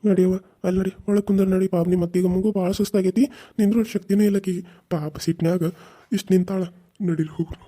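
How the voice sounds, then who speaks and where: A man's voice: high voice, fairly even intonation, quick pace, warm tone. A man voicing a stern, protective older uncle who scolds and threatens in a North Karnataka accent. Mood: comforting. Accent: North Karnataka Kannada.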